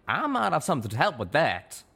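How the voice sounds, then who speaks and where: A man's voice: a little high, wildly swooping pitch, a little fast, creaky, pushed and bright, slightly rough, pitched above the performer's own voice, heavily acted. A man voicing an easygoing young male pegasus in an audiobook, chiming in casually.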